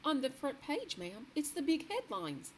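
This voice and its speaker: A woman's voice: high-pitched, sing-song, unhurried, heavy background noise, voice pushed up. A woman voicing a startled, deferential middle-aged maid who keeps saying ma'am. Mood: flustered.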